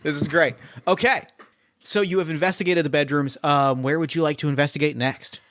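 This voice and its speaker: A man as game master of a solo tabletop mystery, talking in a rambling, animated way full of fillers and restarts. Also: on the high side, a little fast, no echo.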